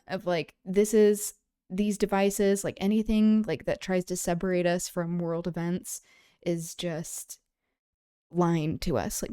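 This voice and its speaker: Casting: female livestream host, mellow and slightly low, dry close sound, reflective and conversational, wandering through her thoughts. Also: clear, resonant tone, dry recording. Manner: discursive, halting, thinking aloud. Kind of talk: casual livestream chat, reflective commentary.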